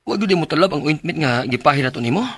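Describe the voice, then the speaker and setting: A poor, protective older brother in a Filipino radio drama with a Cebuano accent. His voice sits a little high for a man, earnest and plaintive with worry. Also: animated, quick pace, a bit bright. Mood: alarmed, concerned. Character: caring, worried older brother, poor orphan-like youth.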